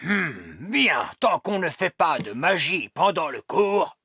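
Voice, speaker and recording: An elderly elf schoolmaster for a children's cartoon. He speaks slowly and with wide swings of intonation, instructing in a strict yet kindly way. Some clicks and noise sit behind the voice. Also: sits high, expressive, full character voice. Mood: suspicious. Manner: measured, instructive. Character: wise old male elf schoolteacher, strict but kindly. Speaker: teacher.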